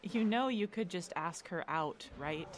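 A woman's voice: fairly low pitch, animated, measured pace, slight background noise. A woman acting in an audio drama as a guarded, stressed teenage girl athlete, with a dry, defensive delivery. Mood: teasing.

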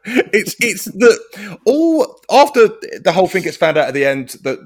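A man's voice: high-pitched, sing-song, a little slow. A man in casual comedy chat, sweary and rambling, laughing often and restarting his sentences.

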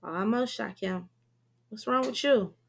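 A woman's voice: mid-range voice, a little slow, vocal fry. Woman doing a caring young girlfriend. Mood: concerned. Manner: reassuring.

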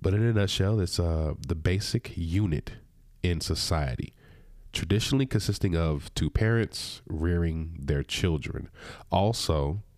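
A man's voice: sits low, darkish tone, no room sound, clean studio sound. A man hosting his own podcast in a loose, personal monologue, sounding a little nasal from a cold. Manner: conversational, loose and personal, with asides. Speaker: podcast host. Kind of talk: casual solo podcast monologue.

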